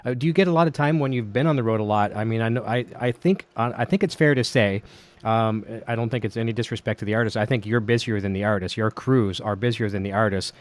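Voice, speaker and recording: A man's voice: on the low side, quick pace. A middle-aged Canadian man hosting a casual podcast interview, chatty and easy-laughing, telling anecdotes. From Ontario, Canada. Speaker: podcast host.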